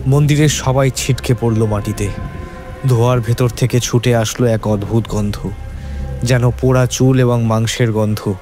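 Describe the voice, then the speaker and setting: A man's voice: medium pitch, fairly even intonation, normal speed, mellow. Male horror-story narrator over music. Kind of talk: dramatic scripted horror narration. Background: background music.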